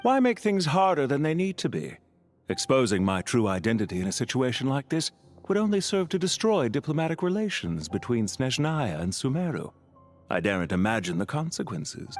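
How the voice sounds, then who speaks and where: An actor playing an urbane, scheming banker villain in a video game, sardonic and politely calculating in a suave, measured way. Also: male voice, mid-range voice, natural voice. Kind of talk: video game character acting.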